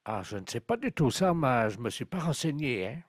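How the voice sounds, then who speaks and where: A man's voice: medium pitch, big pitch swings, fairly quick, no room sound, studio quality. A man voicing a naive, unrepentant angler in a prank call, innocent, puzzled and matter-of-fact. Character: naive, unrepentant male angler, blithely missing the point. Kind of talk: improvised prank phone call.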